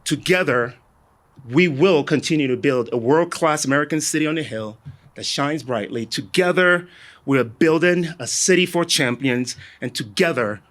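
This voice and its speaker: A man's voice: mid-range voice, fairly bright tone. A man speaking as a city mayor, giving a formal civic speech, rallying and listing his thanks.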